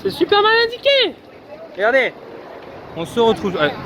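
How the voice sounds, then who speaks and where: A man's voice: very high voice, huge pitch swings, normal speed, very noisy. A man casually vlogging from a busy theme park, chatting to his viewers with lots of fillers. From France. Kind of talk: casual vlog commentary.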